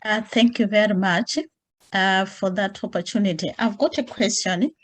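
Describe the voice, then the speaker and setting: A woman representing community groups who asks a panelist a question politely, with frequent pauses. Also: mid-range voice, steady tone. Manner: polite and measured, with frequent uh fillers. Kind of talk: online panel discussion.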